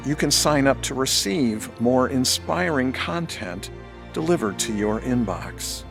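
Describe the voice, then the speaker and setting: A man reading a scripted closing announcement as announcer for a religious audiobook, with music behind him. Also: mid-range voice. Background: clear music.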